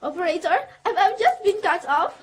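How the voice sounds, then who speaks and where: Woman acting a very high-pitched, fretful invalid wife. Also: lively intonation, normal speed, a little hiss or hum. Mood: frantic, demanding. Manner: fretful, imperious, melodramatic. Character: anxious, demanding invalid wife alone at home. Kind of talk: radio drama acting.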